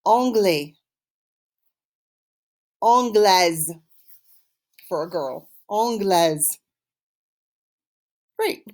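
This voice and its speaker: A woman teaching a language class, encouraging and instructive, carefully enunciating phrases for learners to repeat. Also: medium pitch. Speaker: French teacher. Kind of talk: language lesson with call-and-repeat.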